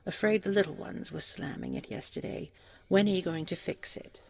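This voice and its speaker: A woman plays a storybook eldest brother who expects others to do the work. He calls out his demands in a raised, creaky voice, oblivious and a little nagging. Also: fairly low pitch, normal speed, darkish tone.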